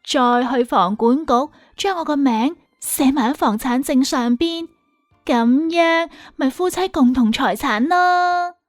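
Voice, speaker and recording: A woman voicing an excitable, chatty young woman friend in an audiobook, gushing and exclamatory. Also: sits high, slow pace, dry recording, clean studio sound, faint music behind, pitched above the performer's own voice. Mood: proud, excited. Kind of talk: audiobook character dialogue.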